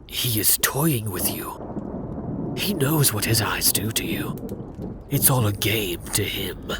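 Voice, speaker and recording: Low-voiced man narrating hushed ASMR fantasy. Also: expressive, takes it slowly, very noisy. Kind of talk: ASMR scripted second-person fantasy narration.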